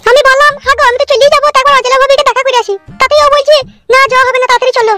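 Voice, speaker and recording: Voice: very high voice, fairly even intonation, racing, bright, forceful tone, faint music behind. A performer voicing a touchy, stubborn young village wife in a comic cartoon, complaining shrilly with a rural West Bengal accent.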